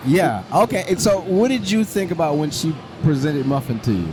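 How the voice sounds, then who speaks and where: Lively-voiced man hosting a podcast. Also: a little high, normal speed, noisy background. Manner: relaxed, short affirmations. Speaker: podcast host. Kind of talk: casual podcast interview.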